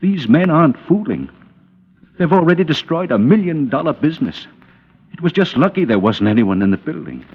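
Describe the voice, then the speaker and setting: An actor playing an anguished middle-aged American businessman in a vintage radio drama, pleading and halting, cornered by blackmailers. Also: on the high side, big pitch swings, husky. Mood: grave, resigned. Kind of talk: 1940s-50s radio drama acting. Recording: muffled old radio recording with hall reverb.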